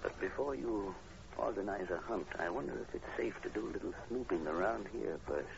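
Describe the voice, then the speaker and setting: An actor playing a calm, analytical professor with a measured, polite manner in a 1940s radio horror drama. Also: male voice, lowish voice, creaky. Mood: thoughtful. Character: calm, analytical male professor investigating strange killings. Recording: muffled, noisy 1940s radio recording.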